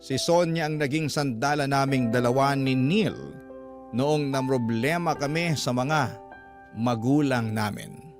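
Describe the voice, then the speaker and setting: A man's voice: mid-range voice, a little slow, lightly acted. A man narrating as Makoy, over guitar. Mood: reflective. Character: Makoy as adult narrator looking back. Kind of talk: radio drama narration. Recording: guitar music under narration.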